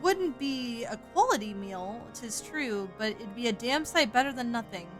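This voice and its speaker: A woman reading aloud the lines of a gruff, old-fashioned army camp cook from a game, in a near-everyday voice. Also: fairly high pitch, animated, moderate pace, over music, natural voice. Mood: matter-of-fact.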